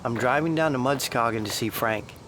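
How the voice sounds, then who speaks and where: A man's voice: medium pitch, brisk, background noise, natural voice. A man playing a conscientious, guarded male researcher who sounds earnest and worried, in an audio drama.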